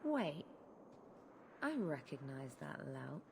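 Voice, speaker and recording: Low-voiced, tough criminal mercenary woman. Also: very animated, slow, gravelly, noisy background, heavily acted. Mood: impatient. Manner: blunt, menacing, sardonic. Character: tough, cynical female underworld mercenary. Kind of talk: fantasy video game acting.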